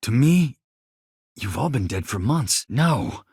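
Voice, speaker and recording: A man's voice: medium pitch, very animated, slow, darkish tone, breathy edge, no room sound, studio quality, full character voice. A man voicing an earnest, anxious space engineer in a video game, a shaken survivor who speaks politely and hesitantly. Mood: shaken, regretful.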